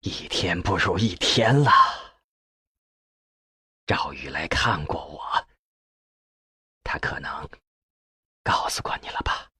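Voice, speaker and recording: A man voicing an ailing elderly professor in an audiobook, with a weak, breathy, halting voice. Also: low-pitched, animated, pushed and bright, no room sound, whispered, clean studio sound, pitched below the performer's own voice, heavily acted. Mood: weary. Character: ailing elderly male professor, frail, eager about research.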